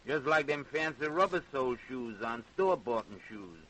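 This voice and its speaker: An actor playing a servile but cruel plantation hand in a radio drama, folksy and eager, with a Southern American accent. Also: male voice, mid-range voice, animated, normal speed, a bit bright, slight background noise, natural voice, low-quality recording. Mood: boastful, cruel. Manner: folksy dialect, eager, menacing relish. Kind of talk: old-time radio drama acting.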